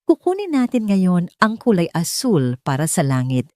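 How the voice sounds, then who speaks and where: A gentle young Filipino woman, voiced by a female narrator, coaxes a child with simple, encouraging words. Her voice is low and mellow, in a dry audiobook recording. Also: wildly swooping pitch, normal speed, no room sound. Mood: cheerful coaxing.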